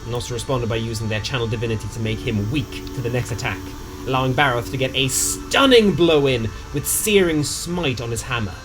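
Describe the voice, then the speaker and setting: A man's voice: medium pitch, a little fast. A man narrating a tabletop game story recap in a casual, dramatic storytelling way with some slang. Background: music.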